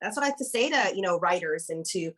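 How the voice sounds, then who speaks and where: A woman's voice: on the low side, expressive, fast, a bit bright. A woman hosting an interview, affirming her guest in a chatty way with many fillers. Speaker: interview host, company founder. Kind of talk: casual interview conversation.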